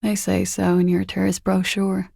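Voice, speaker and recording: Game role: evasive young ex-pageant queen, heavy vocal fry, languid sarcasm, very close dry recording. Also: mid-range voice, monotone, normal speed, warm tone, no room sound. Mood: guarded. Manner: languid, dry, sardonic understatement. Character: cool, evasive young former beauty queen and party girl. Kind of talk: video game dialogue, low-key and naturalistic.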